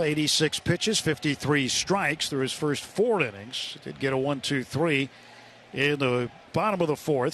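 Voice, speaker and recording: A male American baseball announcer with a slightly high voice calls the game live on radio. He takes his time between phrases, with faint ballpark noise behind him. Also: a bit bright. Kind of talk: live sports commentary. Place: ballpark broadcast booth. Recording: live stadium broadcast, ambient noise.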